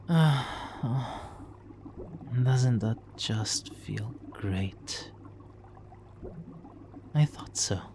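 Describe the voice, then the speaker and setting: A man playing a smooth-talking supervillain nemesis in an ASMR roleplay, hushed, soothing and coaxing as he hypnotically seduces a captive hero. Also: medium pitch, slight background noise. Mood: hypnotic, coaxing. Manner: slow, hushed, soothing, coaxing with soft chuckles. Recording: water trickling behind the voice.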